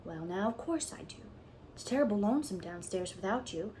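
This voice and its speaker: A woman voicing a shy, gentle elderly farmer who talks in a hushed, coaxing way. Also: mid-range voice, animated, brisk, a little hiss or hum, lightly acted.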